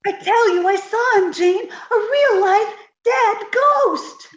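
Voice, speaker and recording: A woman's voice: very high-pitched, slow pace, echoey room, dull audio, pitched above the performer's own voice. A woman voicing a childlike, dim, easily frightened young woman in a radio comedy. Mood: panicked. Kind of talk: 1950s radio sitcom reading.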